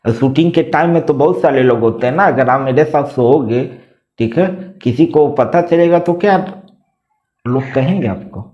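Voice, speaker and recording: A man's voice: mid-range voice, normal speed, dull audio. A man hosting a casual vlog and questioning a caller, pressing and repeating his questions. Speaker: channel host taking a phone call.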